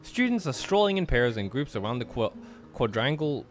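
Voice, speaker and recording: Male game host, very animated mid-range voice, casual read-aloud with stumbles, faint music underneath. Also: mid-range voice, normal speed. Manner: stumbles over words while reading. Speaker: host of group play-along. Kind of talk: reading game narration aloud with casual banter.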